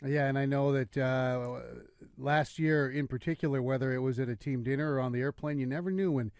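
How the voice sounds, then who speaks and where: A man's voice: mid-range voice, steady tone, fairly quick, darkish tone, clear, resonant tone, dry recording, studio quality. A man hosting a sports radio show, chatting and asking a manager leading questions.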